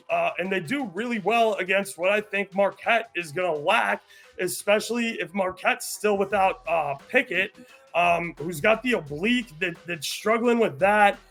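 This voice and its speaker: A man hosting a sports podcast, giving steady, opinionated breakdowns in casual talk with frequent fillers. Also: sits high. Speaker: podcast host.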